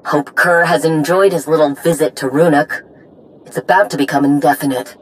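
A woman playing a tough, loyal young warrior in a video game, blunt and earnest, with a slightly husky voice. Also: deep voice, steady tone, moderate pace, lightly acted, rough recording. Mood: determined. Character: tough, vengeful yet loyal armored Mandalorian warrior woman. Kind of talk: video game cutscene acting.

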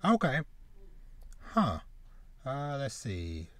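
A man in his thirties hosting a casual anime podcast, speaking haltingly and often repeating words as he goes. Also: medium pitch, huge pitch swings. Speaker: podcast host. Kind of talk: casual chat about anime. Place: small room with bookshelves, indoors, on a video call.

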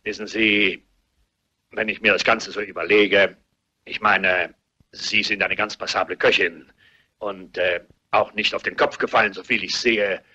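An actor playing a grumpy, domineering old patriarch in a radio drama, blunt and gruff. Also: male voice, on the low side, fairly even intonation, measured pace, pushed and bright, exaggerated voice. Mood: brusque, bossy. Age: older. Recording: dull lo-fi audio.

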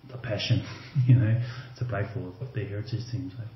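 A man's voice: on the low side, steady tone, moderate pace, loud music behind the voice. A man, a former rugby league player, talking casually as a podcast guest and leaning on "you know" and "like". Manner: loose and conversational, many fillers like "you know" and "like". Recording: roomy, slightly dull remote audio.

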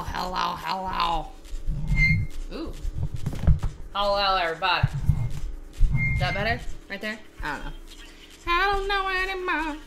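A woman with a raspy voice hosting a casual cooking livestream, bubbly and laughing as she greets viewers one by one. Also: medium pitch. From Texas. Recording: faint music behind the voice. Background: faint background music.

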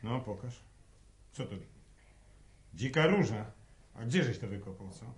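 An actor playing an exasperated, hard-working farmer in a radio drama, scolding and sarcastic in a rustic way. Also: male voice, mid-range voice, expressive, a little slow, natural voice. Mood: curious. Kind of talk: Polish radio drama acting. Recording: noisy, roomy, distant old radio recording.

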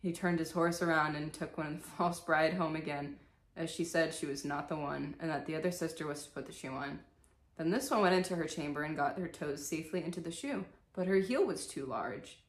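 A woman's voice: deep voice, fairly quick. A young woman hosting a dramatic storybook reading, with casual, irreverent asides, recorded in a bedroom. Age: young adult. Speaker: storyteller and video host. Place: indoors, small bedroom. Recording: echoey room.

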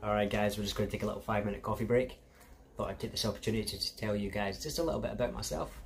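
A man's voice: fairly low pitch, rapid-fire, gravelly. A Scottish man in his thirties, a cobbler chatting casually to viewers from his small shoe repair shop. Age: 30s. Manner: relaxed, chatty, addresses viewers as guys. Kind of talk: casual workshop vlog.

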